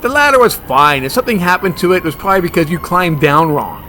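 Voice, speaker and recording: An actor playing a brash, stubborn, wisecracking monster hunter in a comedy horror audio drama. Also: male voice, high voice, sing-song, fast, sharp tone, slight background noise, full character voice. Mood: stubborn arguing. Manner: brash, argumentative, sarcastic.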